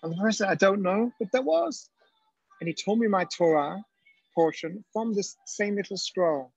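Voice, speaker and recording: A man's voice: high voice, animated, normal speed, gravelly, breathy edge, no room sound. A middle-aged man with an Israeli accent telling a story as an educator in a webinar, speaking over a video call from a small room and lifting his voice when he quotes people. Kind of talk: storytelling lecture. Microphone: webcam on a video call. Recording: dull lo-fi video call audio.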